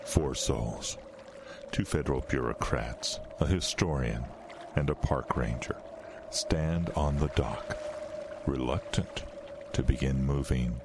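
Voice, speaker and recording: An actor playing an ominous ghost-story narrator in a radio drama, slow and deliberate, over stormy water effects. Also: male voice, extremely low pitch, creaky, noisy background, full character voice. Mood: ominous, brooding.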